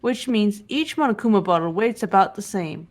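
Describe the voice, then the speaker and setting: A woman playing a cool, analytical teenage girl detective in a game voice-over, speaking in a measured, serious way. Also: mid-range voice, animated, lightly acted. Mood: businesslike. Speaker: amateur voice actor. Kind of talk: fan voice-over of a visual novel game. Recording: game music under the voice.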